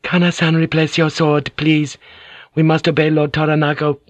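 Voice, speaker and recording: A woman's voice: low-pitched, little pitch movement, no echo, voice pushed up, natural voice. A male narrator voicing a distressed, polite young Japanese noblewoman who stammers and halts. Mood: pleading. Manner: halting, stammering, courteous.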